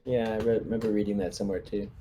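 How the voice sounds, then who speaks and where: A man's voice: fairly low pitch, little pitch movement, racing, mellow, slight background noise. A man chatting casually as a player in a tabletop game. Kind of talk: casual chat over a game.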